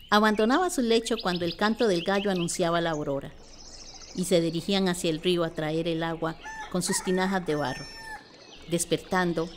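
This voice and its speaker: Low-voiced Costa Rican woman narrating a legend. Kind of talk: scripted legend narration, radio play. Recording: clear background noise, nature ambience.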